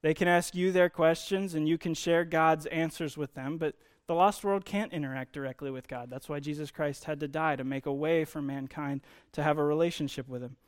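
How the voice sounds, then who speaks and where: Man preaching, slightly high voice. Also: fairly even intonation, brisk, few pauses, clean tone. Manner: informal, explanatory, emphasizes key words. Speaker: preacher, Bible teacher. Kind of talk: sermon, conversational Bible teaching.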